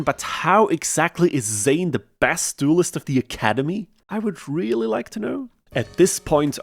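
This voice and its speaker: A man narrating a video game challenge from a casual script, with wry, sarcastic humor. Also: mid-range voice.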